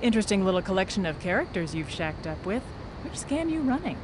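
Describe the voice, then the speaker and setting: A woman's voice: medium pitch, very animated, quick pace, natural voice. A woman playing a cool, tough, sardonic mercenary in a video game, teasing and curt, over background noise.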